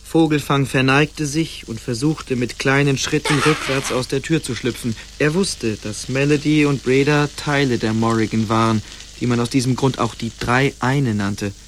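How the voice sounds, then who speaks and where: A man's voice: mid-range voice, steady tone, moderate pace, background noise, close to an everyday voice. A man narrating a radio play in a scripted storytelling style.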